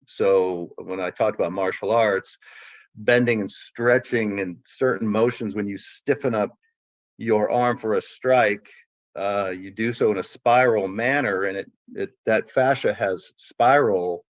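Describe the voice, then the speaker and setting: Low-voiced man rambling on a call. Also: measured pace, no room sound, highs cut off. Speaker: caller. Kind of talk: casual call-in chat.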